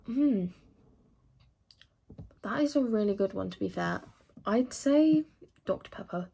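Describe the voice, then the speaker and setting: A woman's voice: medium pitch, animated, measured pace, vocal fry, dark tone, breathy edge. A teenage woman from England chatting casually to camera, rambling with lots of fillers as she answers questions. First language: English. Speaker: vlogger.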